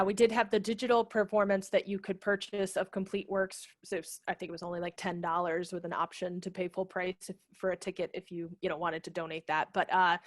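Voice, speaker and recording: Lower-voiced woman, close and dry. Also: no room sound. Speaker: theater director, guest presenter. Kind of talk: casual webinar question-and-answer talk. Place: small home room, video call. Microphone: headset microphone.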